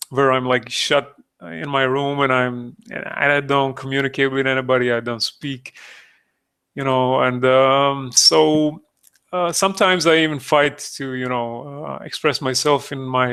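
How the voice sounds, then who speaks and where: A man with a Croatian accent, a native Croatian speaker, giving a live sculpting tutorial in a halting way, full of fillers and restarts, on a close mic. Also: medium pitch, creaky, bright, forceful tone, clean tone. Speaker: digital sculpting streamer. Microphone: close desktop mic, breathing audible.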